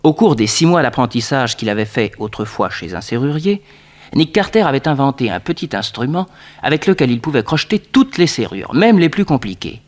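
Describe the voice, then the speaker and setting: An actor narrating a 1960s radio detective serial as a measured storyteller. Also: male voice, mid-range voice, very animated, lightly acted.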